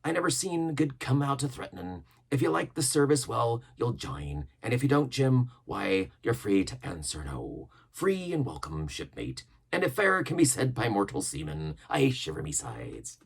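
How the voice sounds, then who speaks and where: A sly, smooth-talking pirate captain acted out by a male reader for a storybook. His voice is husky and swings widely in pitch, with salty dialect, a sneering geniality and menace under the gracious words. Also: big pitch swings, moderate pace, hardly any pauses, exaggerated voice. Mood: gracious, veiled threat.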